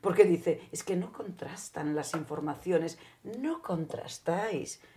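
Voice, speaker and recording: A woman's voice: fairly low pitch, slow pace, warm tone. A woman panelist discussing celebrity gossip in an opinionated, emphatic way. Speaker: gossip columnist. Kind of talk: casual chat.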